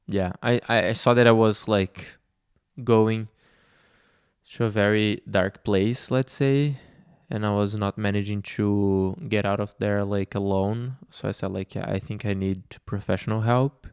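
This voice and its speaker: Soft-spoken man, slow and hesitant. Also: lowish voice, fairly even intonation, smooth, no echo, studio quality. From Brazil. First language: Portuguese. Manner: hesitant, many fillers and restarts. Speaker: vlogger. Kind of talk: reflective personal talk.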